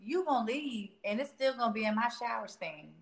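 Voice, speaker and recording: A woman guest chatting casually, telling things in a slangy, comic, animated way. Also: on the high side, a little fast, gravelly, roomy sound. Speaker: interview guest. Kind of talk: casual chat.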